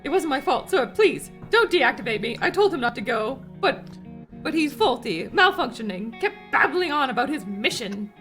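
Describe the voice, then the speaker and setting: Woman doing a fussy polite robot butler, kinda high voice, nervous, script read. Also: big pitch swings, normal speed, a bit bright, faint music behind. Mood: anxious, pleading. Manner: prim, formal, fussy. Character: anxious, polite, deferential male protocol droid. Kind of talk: amateur table read of a film script.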